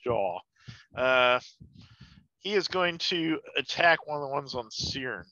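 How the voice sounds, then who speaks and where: Bright-voiced man playing a tabletop game. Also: mid-range voice, animated, takes it slowly, dry recording, highs cut off. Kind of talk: casual game-table chat.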